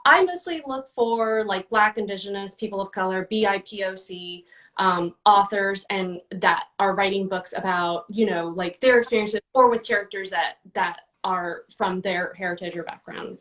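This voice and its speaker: A woman's voice: mid-range voice, moderate pace, a bit bright. Chatty woman, slightly distant mic. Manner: chatty, many fillers and restarts. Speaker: guest, book reviewer. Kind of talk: casual interview answers.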